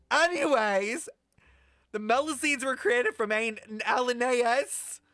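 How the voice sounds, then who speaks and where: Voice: medium pitch, clean studio sound. A brash, sweary livestreamer chatting casually and rambling through an explanation, playfully scolding viewers with theatrical emphasis. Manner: animated, theatrical emphasis with drawn-out words. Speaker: streamer reacting to a video game story. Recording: roomy echo, faint music behind.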